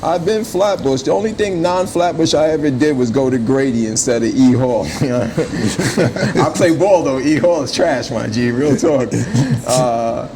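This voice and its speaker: A man's voice: mid-range voice, measured pace, hardly any pauses. Slangy New man, noisy background. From born in Jamaica, raised in Brooklyn from age five. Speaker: restaurant owner being interviewed. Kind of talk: casual conversational interview.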